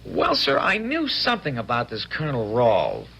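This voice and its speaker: A scared young infantryman in a radio drama, voice bright and gravelly, pitched a little high. He stammers and pleads in plain country speech, his tone swinging widely up and down. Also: huge pitch swings, moderate pace, exaggerated voice, low-quality recording. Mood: earnest, explaining. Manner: stammering, rustic, hesitant, earnest. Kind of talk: 1970s radio drama acting.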